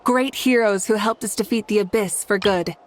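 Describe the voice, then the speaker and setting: A woman voicing a composed female fire goddess who leads her nation, calm, polite and measured in a video game cutscene. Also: mid-range voice, slight background noise, lightly acted. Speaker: leader. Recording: game audio with faint music.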